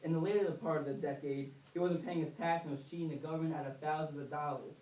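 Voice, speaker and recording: A man's voice: fairly high pitch, steady tone, fast talker, dark tone, lightly acted. A young man playing a chatty, colloquial reporter in a scripted amateur 1920s radio newscast. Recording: echoey room, distant mic.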